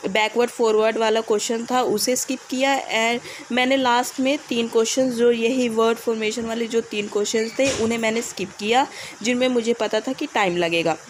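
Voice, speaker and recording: Hoarse young woman giving exam tips. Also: a little high, steady tone, a little hiss or hum. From India. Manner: hesitant, with many "uh" pauses. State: hoarse, with a fever. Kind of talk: casual exam-advice vlog.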